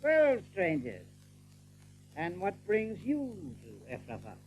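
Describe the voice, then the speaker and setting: An actor playing a rabbit general in an audiobook drama, a commanding ruler speaking in a measured, formal, authoritative way. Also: male voice, sits high, wildly swooping pitch, slight background noise, full character voice. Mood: probing, stern.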